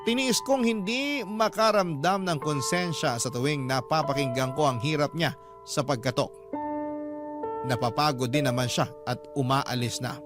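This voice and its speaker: A man's voice: fairly high pitch, normal speed, over music. A man reading scripted first-person narration as the storyteller of a Filipino radio drama.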